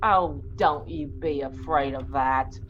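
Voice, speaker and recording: An actress playing a brash, unsentimental scavenger woman in an audio play, scornful and gloating, with drawn-out emphasis. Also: deep voice. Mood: scornful, boasting. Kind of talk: theatrical audio-play acting. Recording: dull audio-play recording, faint noise.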